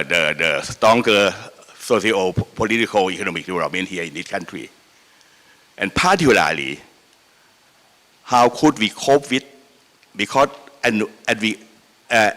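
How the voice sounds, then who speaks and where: A man with a Thai accent giving an extemporaneous conference speech, halting and repeating himself as he stresses his points. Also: deep voice, a bit bright. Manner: halting and repetitive, with emphatic enumerated points. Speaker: panel speaker. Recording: echoey hall with long reverb.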